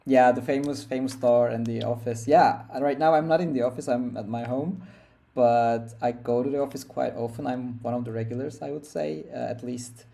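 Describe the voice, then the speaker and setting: Hesitant young man from Turkey, mellow voice. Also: mid-range voice, moderate pace, gravelly. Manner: hesitant, many fillers and restarts. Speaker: guest developer. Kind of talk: casual podcast interview. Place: at home.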